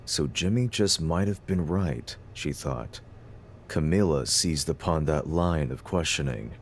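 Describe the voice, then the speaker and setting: A man's voice: very deep voice, animated, unhurried, slight background noise. A man narrating a scripted story as the narrator of an audio drama.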